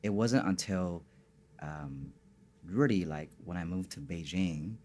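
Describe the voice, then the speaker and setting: A man's voice: deep voice, slow pace, a little mellow, slight background noise. A man from Northern China, a guest in a podcast studio, talking casually and often searching for words. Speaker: guest, tea bar co-founder. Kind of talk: casual interview chat.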